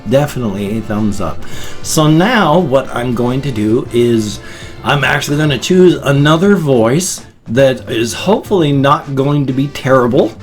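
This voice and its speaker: A man's voice: mid-range voice, lively intonation, normal speed, soft background music. A middle-aged American man chatting casually and off the cuff in a rambling, dorky vlog manner. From United States. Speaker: vlogger. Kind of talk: casual unscripted vlog chat.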